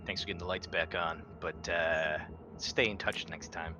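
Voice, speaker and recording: A man's voice: low-pitched, fairly even intonation, normal speed, music in the background. A man playing a tabletop roleplaying game, talking casually in character with the group.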